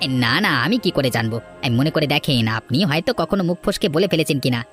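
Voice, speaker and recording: A man plays a greedy informant in a cartoon folk tale. His fairly high male voice is sly and wheedling as he fawns on his boss, over faint music. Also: expressive, a little fast, a bit bright. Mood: sly, fawning. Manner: obsequious, wheedling. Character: sly, money-loving henchman and village informant. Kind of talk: animated folk-tale voice acting.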